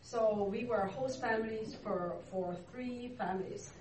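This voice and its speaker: Distant, echoey woman. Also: on the high side. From Hong Kong. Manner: unhurried, halting, searching for words. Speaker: interview guest, church ministry worker. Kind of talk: casual interview. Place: church hall. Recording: echoey room, distant mic, noisy.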